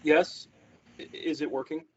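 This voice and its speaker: A man's voice: a little high, flat delivery, measured pace, a little mellow, a little hiss or hum, close to an everyday voice. A man reading a naive, sheltered young man at a play table read, hesitant and stammering. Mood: earnest. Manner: hesitant, stammering, wide-eyed. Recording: echoey room, muffled lo-fi.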